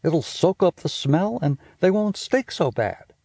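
A high, squealing schoolgirl voice that leaps up and down, exasperated and bossy toward her little brother, as a man puts her on for an audiobook. The tone is mellow and a little husky, recorded close and dry. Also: very animated, a little slow, no room sound, full character voice. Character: bossy, teasing big-sister girl.

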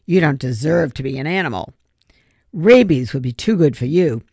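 A woman's voice: low-pitched, animated, moderate pace, a little mellow, slightly rough, no echo, studio quality, heavily acted. A woman voicing an indignant schoolgirl animal lover who scolds emphatically in an audiobook story. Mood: furious, outraged.

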